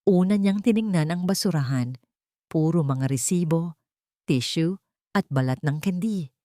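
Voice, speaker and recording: A woman's voice: low voice, a little slow, warm tone, no echo, studio quality. A woman narrating a scripted romance story in a dramatic style.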